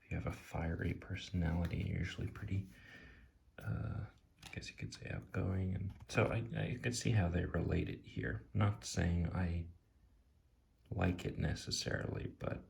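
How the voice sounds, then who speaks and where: A man talking in a soft ASMR style as he reviews cards off the cuff, musing and hesitant, often drifting into a near-whisper. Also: low-pitched, steady tone, normal speed, vocal fry, slight background noise. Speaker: tarot deck reviewer. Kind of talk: soft-spoken ASMR, impromptu commentary. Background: soft tapping and clicking.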